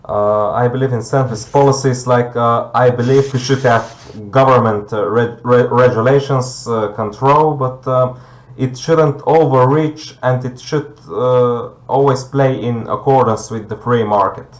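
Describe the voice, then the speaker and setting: Guy in a debate, halting and hunting for words, echoey room, average pitch. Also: steady tone. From Bulgaria. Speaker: debate guest. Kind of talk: conversational debate.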